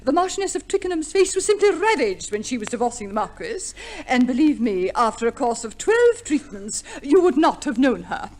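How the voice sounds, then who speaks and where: A high, very lively voice of a fussy, genteel lady. She is coy and garrulous, with affected refinement, in the manner of a vintage radio comedy. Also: a little fast. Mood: gushing, genteel. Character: genteel, fussy, chatty professional divorce co-respondent lady, prim. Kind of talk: old radio comedy play acting. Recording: vintage radio play recording.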